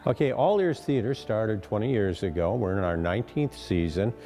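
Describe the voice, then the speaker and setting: An older man who directs radio theater, explaining things in a measured way in an interview. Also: on the low side, lively intonation, dark tone. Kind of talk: interview answers.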